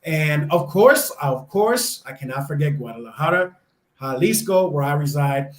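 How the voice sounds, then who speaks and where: A man's voice: on the high side, expressive, moderate pace. An American man in his young adult years, chatting enthusiastically as an interview guest, with lots of fillers and restarts. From United States. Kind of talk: casual interview chat. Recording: echoey room.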